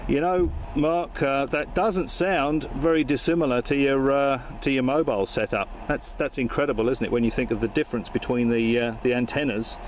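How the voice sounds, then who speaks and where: A man's voice: mid-range voice, normal speed, hardly any pauses, background noise. A man chatting casually and talking on air as an amateur radio operator, with a hesitant, dryly joking manner. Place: vehicle outdoors in Perth suburbs. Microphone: handheld camera.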